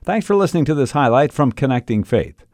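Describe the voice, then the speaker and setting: A man hosting a radio programme delivers scripted announcements. His pitch moves in lively swings, and the sound is dry and close. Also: fast, no echo. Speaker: radio show host. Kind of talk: scripted programme intro and outro.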